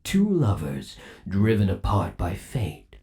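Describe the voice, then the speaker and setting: A man's voice: low voice, huge pitch swings, slow pace, warm tone, heavily acted. A man playing a possessive, lovesick undead animatronic rabbit boyfriend in an ASMR roleplay, cooing in a doting, theatrical and sinister way. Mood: romantic, gleeful.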